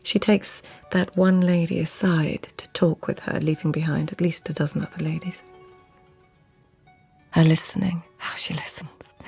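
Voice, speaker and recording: Husky, haunted court lady. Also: sits low, exaggerated voice. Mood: tender, wistful. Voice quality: husky, often breathy near-whisper. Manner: hushed, deliberate, haunted storytelling. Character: intense, devoted court lady of tenth-century Japan. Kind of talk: literary radio drama acting.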